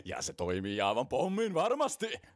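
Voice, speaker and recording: A man voicing an excitable, dramatic troublemaker in an amateur cartoon dub. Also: mid-range voice. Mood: gleeful scheming. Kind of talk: animated fandub acting.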